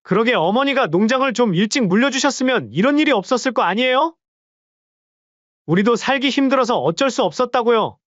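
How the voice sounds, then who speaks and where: Self-pitying younger son, acted out for an audio story, raised voice, very high, lo-fi. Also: male voice, lively intonation, fairly quick, fairly bright tone, no echo. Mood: indignant. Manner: raised, indignant pleading. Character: defiant younger son, whiny and self-justifying. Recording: dull lo-fi dry sound.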